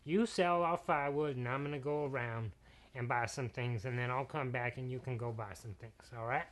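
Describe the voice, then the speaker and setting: A man's voice: mid-range voice, fairly even intonation, moderate pace, fairly bright tone, lightly acted. A man voicing a kind, practical woodsman father who speaks to his daughter in a reassuring, fatherly way. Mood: instructive.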